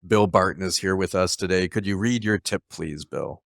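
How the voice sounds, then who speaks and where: A man's voice: sits low, normal speed, creaky, no echo, studio quality. A man hosting a conversational interview, speaking hesitantly as he searches for his words. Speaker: interviewer, host.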